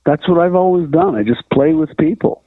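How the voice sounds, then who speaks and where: A man near seventy, a guitarist guest in a casual interview, relaxed and self-deprecating, often laughing. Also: a little high, brisk, dry recording. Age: older. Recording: tinny phone line.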